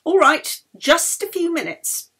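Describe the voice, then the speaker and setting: A woman's voice: very high voice, big pitch swings, measured pace, sharp tone, voice pushed up, full character voice. A woman reading a story and voicing a stern, bossy hospital ward matron with an officious, scolding manner. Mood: grudging.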